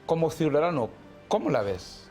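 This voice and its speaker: A man's voice: a little high, expressive, moderate pace, a little mellow, faint music behind. A man hosting a studio panel discussion, guiding with questions and short affirmations. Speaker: host.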